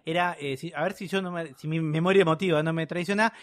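Man, thirties, Rioplatense accent, fairly high pitch, informal interviewer, repeats words, casual chat. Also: steady tone, fast talker. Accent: Rioplatense Argentine Spanish. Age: 30s. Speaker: podcast host.

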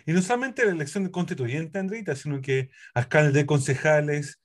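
A man with a Chilean accent, a priest hosting a relaxed faith talk show, chatty and prone to trailing off and restarting. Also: fairly high pitch, moderate pace. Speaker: priest and host. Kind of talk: casual radio chat.

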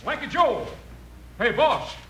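High, hollering outlaw henchman. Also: expressive, slow pace, roomy sound, recorded from a distance, over loud music, full character voice. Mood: shouting. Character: shouting, rough outlaw gang henchman. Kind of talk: 1950s radio western acting. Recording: very noisy old-time radio recording.

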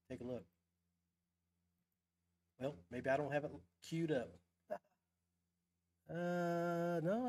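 A man's voice: on the high side, darkish tone. A man hosting a live podcast in casual, informal chat, with a buddy-like way of talking. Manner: informal, calls guest buddy and brother. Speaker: podcast host.

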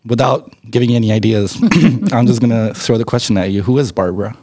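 Podcast host with a low, dry voice. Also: male voice, fast, mellow, dry recording. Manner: friendly, many filler ums. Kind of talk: casual interview conversation. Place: office.

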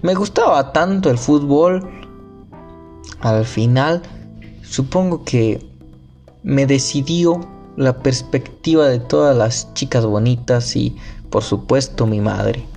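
Male amateur reader, slow and deliberate delivery, mid-pitched, soft guitar playing underneath. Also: vocal fry. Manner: slow, careful reading with occasional slips and restarts. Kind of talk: reading nonfiction aloud.

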